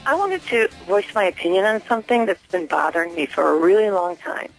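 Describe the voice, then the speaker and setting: A woman's voice: medium pitch, lively intonation, fairly quick, vocal fry, soft background music. A woman phoning a radio station as a listener, making an earnest, insistent complaint in a hesitant, spontaneous way. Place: phone call into a radio station. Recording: dry telephone line to radio studio.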